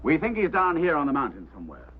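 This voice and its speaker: Brusque boss, tinny old film sound. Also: male voice, on the high side, huge pitch swings, quick pace, husky, full character voice. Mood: commanding. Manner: commanding, dismissive. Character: brusque, impatient, skeptical mining company boss. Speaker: actor. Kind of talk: mid-century screen drama acting.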